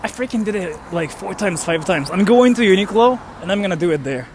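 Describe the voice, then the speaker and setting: A man's voice: sits high, expressive, a little fast, slight background noise. A young man from Japan, a native Japanese speaker, chatting casually on a livestream and reading out viewers' comments. He rambles in a chatty way, with lots of fillers and an emphatic "freaking". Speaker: streamer reading chat comments.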